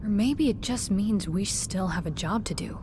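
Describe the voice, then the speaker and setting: An actress playing a calm, watchful adventurer in a video game, measured and understated. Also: female voice, mid-range voice, lightly acted. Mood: thoughtful. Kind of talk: dramatic video game acting. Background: noisy ambience.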